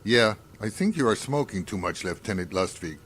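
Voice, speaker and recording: Low-voiced, wry brooding scientist played by a man. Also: lively intonation, a bit bright, slight background noise. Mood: musing. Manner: musing, dry, deliberate. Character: wry, detached, brooding male scientist doctor on a space crew. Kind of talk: science fiction radio drama acting.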